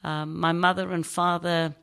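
A middle-aged woman from South Africa with a South African accent, a podcast guest telling her story thoughtfully with many pauses and ums. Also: fairly low pitch, level tone, vocal fry, fairly bright tone, smooth. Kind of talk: casual interview conversation.

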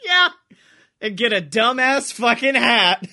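High-voiced, animated man joking around. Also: takes it slowly. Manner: excitable, sweary, giggly. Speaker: comedy podcast host. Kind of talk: casual improvised comic storytelling.

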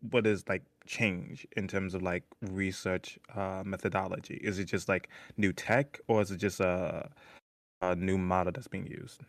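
A man co-hosting a podcast, asking questions in a hesitant, halting way, full of "um" and "like". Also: low voice, gravelly, dry recording. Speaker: podcast co-host.

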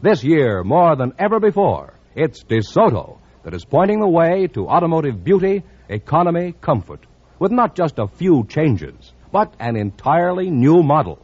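Old time american radio announcer guy, husky, super animated sales pitch, muffled sound. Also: normal speed. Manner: polished salesman-like delivery. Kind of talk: 1940s-50s radio announcing, scripted.

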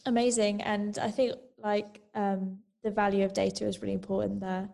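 A woman hosting a podcast who asks relaxed questions and uses casual fillers. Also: mid-range voice, moderate pace, creaky. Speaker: podcast host. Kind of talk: interview questions.

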